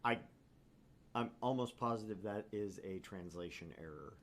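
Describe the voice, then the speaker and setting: Low-pitched man narrating a visual novel. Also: moderate pace. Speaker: co-host and narrator of a visual novel let's play. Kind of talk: casual chat and story narration.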